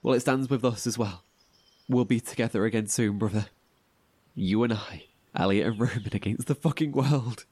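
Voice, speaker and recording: An actor in an audio horror drama playing an anxious, devoted brother, halting and stammering with emotion. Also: male voice, medium pitch, a little mellow, no echo, close to an everyday voice. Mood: emotional, hopeful. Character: anxious, devoted, grief-stricken brother surviving apart.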